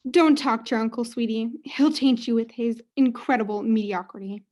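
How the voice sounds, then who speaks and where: A woman plays a self-absorbed mother in a high voice. She is theatrical and haughty, milking every phrase for self-pity in an amateur radio comedy. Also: normal speed, darkish tone, natural voice. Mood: haughty, disdainful. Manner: overwrought, theatrical, self-pitying. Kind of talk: student radio play acting.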